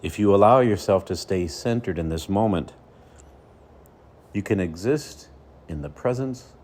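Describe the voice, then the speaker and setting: A man leading a guided meditation as a coach, speaking slowly and soothingly with deliberate pauses between phrases. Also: low voice, expressive, a little mellow.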